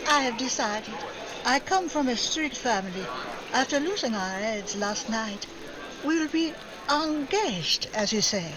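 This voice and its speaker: A woman's voice: a little high, lively intonation, a little slow, crowd noise, poor audio. A woman playing a possessive European femme fatale in a 1940s-style radio drama, imperious and coquettish.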